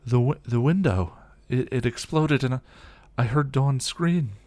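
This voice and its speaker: A man voicing a gentle young woman witch in a dramatic story reading, hesitant and stammering. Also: mid-range voice, a little slow, dry recording, pitched above the performer's own voice, natural voice. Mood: shaken.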